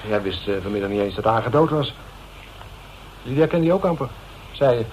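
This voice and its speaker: A man's voice: medium pitch, lively intonation, fairly quick, a little mellow, natural voice. An actor with a Netherlands Dutch accent playing a suspicious, touchy man in a radio drama, hesitant, colloquial and blustering. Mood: puzzled, hesitant. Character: suspicious, touchy man receiving late-night visitors. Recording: muffled, noisy radio recording.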